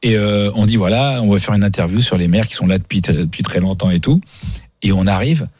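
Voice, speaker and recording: A man hosting a radio show, speaking in casual slang with bantering, animated storytelling. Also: on the low side, a little fast, breathy edge, no echo. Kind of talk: casual bantering talk and comic anecdotes.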